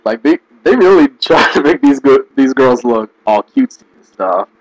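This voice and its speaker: A man giving casual, rambling commentary, restarting sentences and laughing often, his voice going hoarse. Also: mid-range voice, expressive, moderate pace, no echo, dull audio. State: losing his voice. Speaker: video commentator.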